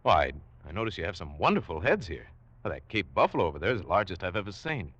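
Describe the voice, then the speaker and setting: An actor playing an upright, plain-spoken big-game hunter in a 1940s radio drama, reserved and earnest. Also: male voice, low-pitched, sing-song, quick pace, a bit bright, heavily acted. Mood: polite curiosity. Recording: dull vintage radio recording, faint music.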